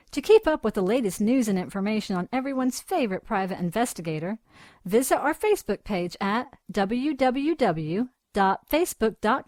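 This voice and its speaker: A woman's voice: medium pitch, dry recording, studio quality. A woman announcer reading scripted end credits for an audio drama.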